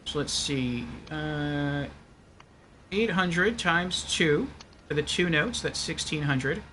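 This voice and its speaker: A man's voice: on the high side, lively intonation, slow, pushed and bright, slight background noise. A man giving casual livestream game commentary, thinking aloud and reasoning things out step by step.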